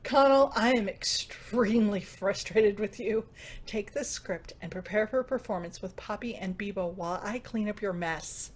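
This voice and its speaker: A woman's voice: medium pitch, moderate pace, close to an everyday voice. A woman playing a stern, exasperated radio managing director, officious and curt, in a comedic audio drama.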